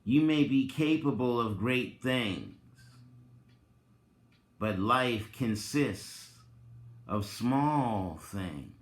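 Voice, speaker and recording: A man giving a dramatic reading, theatrical and deliberate, with drawn-out phrases and long pauses. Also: medium pitch, expressive. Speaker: reader and commentator, presenter of the recording. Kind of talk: dramatic reading with informal asides. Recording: roomy, echoing sound.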